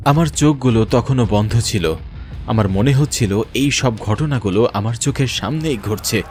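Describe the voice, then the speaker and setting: A man's voice: mid-range voice, moderate pace, darkish tone, soft background music. A man narrating a scripted first-person horror story in a grave, dramatic way.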